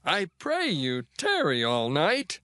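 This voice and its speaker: Coaxing older father-in-law, bright and very slow, theatrical biblical drama, high register. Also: wildly swooping pitch, no echo, clean studio sound, heavily acted. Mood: persuasive. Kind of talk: dramatized scripture reading, archaic English.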